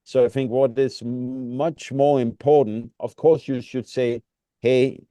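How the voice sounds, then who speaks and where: A man's voice: mid-range voice, fairly even intonation, a little slow, dark tone, no echo. A middle-aged man, a native Danish speaker with a Danish accent, co-hosting a casual reflective conversation and often searching for words. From Denmark. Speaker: podcast co-host.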